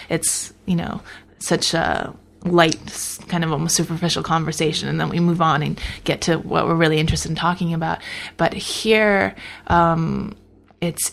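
A woman speaking as a guest in a casual conversation, thoughtful and halting, often restarting her sentences. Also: fairly low pitch, gravelly, a bit bright.

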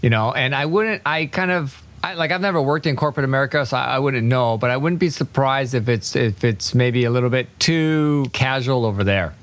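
A man's voice: medium pitch, brisk, few pauses. Casual man hosting an interview. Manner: casual, curious, laughs easily. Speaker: interviewer, podcast host. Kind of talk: conversational interview.

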